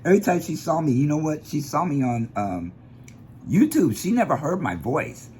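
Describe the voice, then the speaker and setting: A man with a deep bass voice giving an animated, opinionated vlog monologue that drifts off on tangents. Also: normal speed, slight background noise. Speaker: vlogger.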